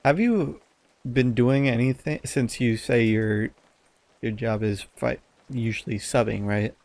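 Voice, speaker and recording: A man's voice: mid-range voice, a little slow, darkish tone. A young man co-hosting a podcast in an informal, slightly sardonic conversational style. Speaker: podcast co-host. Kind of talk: casual podcast chat.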